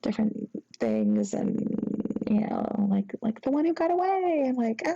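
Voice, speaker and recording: A sheepish young woman , played by an actress, rambles apologetically to an old flame in an intimate audio roleplay. Her voice is soft, dark and relaxed, with very heavy creak, and the recording is slightly dull. She is halting and flustered, full of embarrassment. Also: fairly high pitch, exaggerated voice. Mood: flustered, apologetic. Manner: halting, rambling, embarrassed, breaking into nervous giggles. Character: sheepish, flustered, apologetic young ex-girlfriend after a drunken night. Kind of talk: intimate acted phone-call roleplay.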